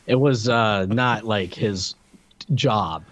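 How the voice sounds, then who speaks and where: A man co-hosting a podcast, casually discussing a film with wry, nitpicky comments and halting restarts. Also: lowish voice, highs cut off, crowd noise. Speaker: podcast co-host reviewing films. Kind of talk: casual comedic movie discussion.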